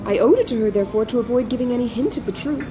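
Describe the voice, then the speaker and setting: A woman's voice: on the high side, natural voice. Woman playing a composed, earnest elder sister. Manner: earnest, measured, restrained. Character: composed, dutiful, self-controlled elder sister.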